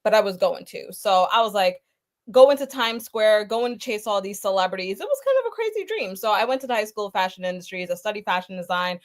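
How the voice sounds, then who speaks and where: A woman's voice: medium pitch, expressive, fast talker. A young woman from Guyana, a podcast guest, talking casually about her career with lots of filler words. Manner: chatty, many "like" fillers. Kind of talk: casual chat.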